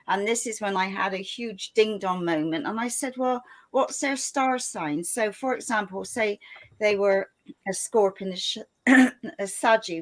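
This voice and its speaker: A woman hosting a talk recounts a client story in a lively, anecdotal way. Her pitch is middling and her delivery is conversational and unpolished. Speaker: host. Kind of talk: casual conversation.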